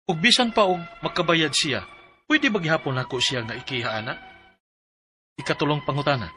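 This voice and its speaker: An actor playing a wronged young husband in a Filipino radio drama, with a Cebuano accent. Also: male voice, on the high side. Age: young adult. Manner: forceful, aggrieved. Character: wronged, bitter husband aged thirty-one seeking annulment. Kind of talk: Filipino radio drama acting and letter narration.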